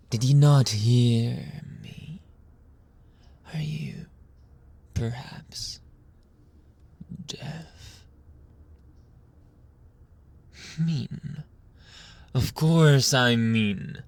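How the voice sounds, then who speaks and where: A man playing a menacing, possessive mafia boss in an ASMR roleplay, speaking deliberately with mock sweetness and often dropping into a whisper. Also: mid-range voice, a little mellow, a little hiss or hum. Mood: cold, condescending. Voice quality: breathy, often whispering, creaky.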